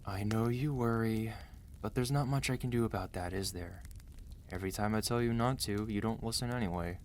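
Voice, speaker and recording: A man acting out a weary, protective mafia boss husband in an ASMR roleplay, commanding and dry yet gentle and intimate. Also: fairly low pitch, a little fast, a little hiss or hum, natural voice. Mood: gentle, teasing. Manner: tired, authoritative, dry, intimate.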